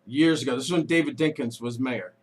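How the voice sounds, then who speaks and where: A man's voice: mid-range voice, fast talker, husky. A man in his late forties from New York, hosting a radio interview, chatty and joking as he asks eager questions. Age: 40s. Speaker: radio host. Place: radio studio. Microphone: studio microphone.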